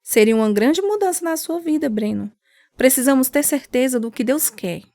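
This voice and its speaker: A woman's voice: high voice, animated, normal speed, warm tone, no room sound. A woman playing a devout, loving mother in a radio drama, speaking earnestly and tenderly. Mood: surprised, caring. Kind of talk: scripted radio drama dialogue.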